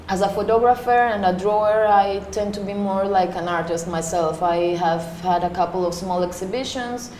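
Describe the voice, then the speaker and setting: A young woman from Panama answering interview questions casually, pausing often with fillers. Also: on the low side, darkish tone. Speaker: interview guest. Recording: echoey room with faint noise.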